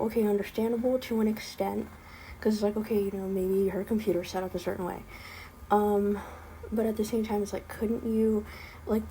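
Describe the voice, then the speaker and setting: A young woman with a slight lisp talks in a loose, rambling rant, full of ums and exasperated asides. Her voice is mellow and gravelly, with faint noise under the recording. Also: medium pitch, rough recording. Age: young adult. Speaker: vlogger. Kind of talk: casual spoken rant.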